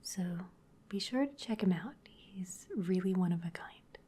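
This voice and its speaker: Breathy whispering woman. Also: mid-range voice, expressive, unhurried, mellow. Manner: whispered thanks to viewers. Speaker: ASMR creator. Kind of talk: ASMR outro.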